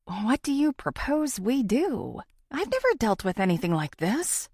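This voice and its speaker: Woman voicing an agitated higher-voiced male cop. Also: very animated, unhurried, a little mellow, no echo, clean studio sound, full character voice. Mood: worried. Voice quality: higher-pitched man's voice. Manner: agitated, worried. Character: anxious, pious higher-voiced male police officer, conspiring.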